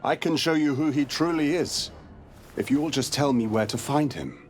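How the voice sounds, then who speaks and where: An actor playing a courteous but wary monster hunter in a video game, speaking in a measured, formal and ominous way. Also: male voice, on the high side, faint music behind, natural voice. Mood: solemn, menacing. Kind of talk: dramatic video game dialogue acting.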